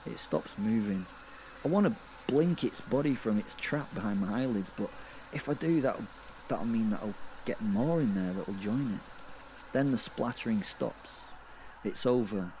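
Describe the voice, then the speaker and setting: A man playing a gleefully morbid werewolf killer in a horror audio drama, telling of his fall through hell in a halting, sweary, conversational way. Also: fairly low pitch, warm tone, slight background noise, rough recording. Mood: grim disgust. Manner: rambling, conversational, sweary, halting and self-correcting. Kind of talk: first-person horror audio drama monologue.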